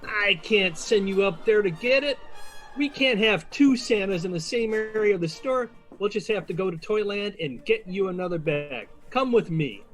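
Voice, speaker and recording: A man's voice: high voice, lively intonation, normal speed, a bit bright, soft background music. A man playing an exasperated, officious department store manager who scolds staff in an audio drama.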